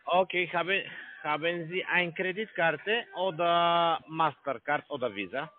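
Halting man on a tinny phone line. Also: fairly high pitch. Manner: halting broken German, many fillers. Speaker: phone scammer caller. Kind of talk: phone call.

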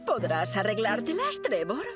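A woman's voice: medium pitch, huge pitch swings, moderate pace. A woman playing a dramatic, bossy, doting middle-aged mother in a children's cartoon, theatrical and gushing. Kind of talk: animated children's cartoon dub. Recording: loud music under the voice.

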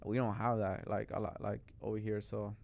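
A man's voice: fairly low pitch, level tone, normal speed, vocal fry, darkish tone, highs cut off. A young man from Mexico, guest on a podcast, talking in a rambling casual chat full of "like" into a studio mic. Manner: rambling, lots of "like" and "bro". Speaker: guest artist. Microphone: studio mic on boom arm.

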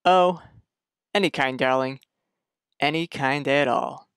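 A man's voice: mid-range voice, slow pace, a bit bright, smooth, no room sound. A man playing an uneasy, devoted husband in a radio mystery, casual and subdued. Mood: uneasy, tender.

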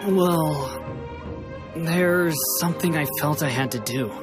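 A man's voice: on the high side, takes it slowly. A man playing an earnest, polite young fugitive in a video game, troubled and hesitant. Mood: troubled, grateful. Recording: music under the voice.

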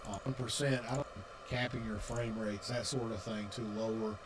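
A man's voice: lowish voice, steady tone, moderate pace. A man presenting a video tutorial in an explanatory style.

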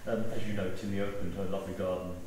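A man speaks informally to a gathering, telling anecdotes with gentle humor. His low voice reverberates strongly around a stone church, picked up from far off with clear background noise. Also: flat delivery, rapid-fire. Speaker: memorial service speaker. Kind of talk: anecdotal eulogy. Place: large stone church, indoors. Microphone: far camera. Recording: echoey church, distant mic, noisy.

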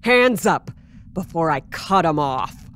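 A woman performs a hot-headed female security officer in a radio play. She is gruff and plain-spoken, with a lowish, very animated voice over faint noise. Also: takes it slowly, exaggerated voice. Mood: angry. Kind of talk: audio drama acting.

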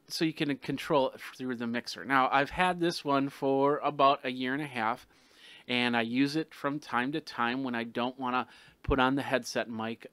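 A middle-aged man hosting a casual product review, explaining things in a halting way with restarted phrases, close on a desktop gooseneck microphone. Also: mid-range voice, fairly bright tone, clean tone, dry recording. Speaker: tech reviewer, host. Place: small studio room with some sound treatment. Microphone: desktop gooseneck condenser microphone, close.

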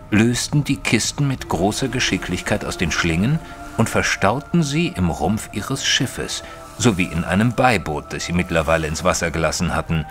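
Reserved victorian gent narrating a detective audio drama, deep voice, measured, faint music behind. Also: male voice, a bit bright. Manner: measured, formal.

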